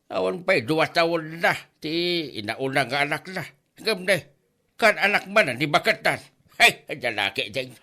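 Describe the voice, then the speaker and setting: A man's voice: fairly high pitch, lively intonation, moderate pace, pushed and bright. A man with an Ilocano accent playing a gossipy, exclamatory village neighbour in a comedy drama.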